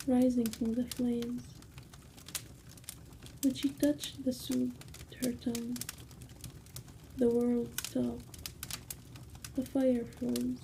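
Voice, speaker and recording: A woman narrating a spooky bedtime story in hushed ASMR style, with slow phrasing and dramatic pauses. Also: fairly high pitch, creaky, slight background noise, low-quality recording.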